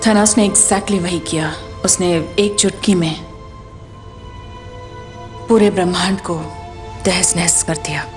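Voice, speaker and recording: A woman acting in a film dub as a somber superhero, giving a grim briefing in a grave, deliberate manner. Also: fairly low pitch, steady tone. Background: ominous music.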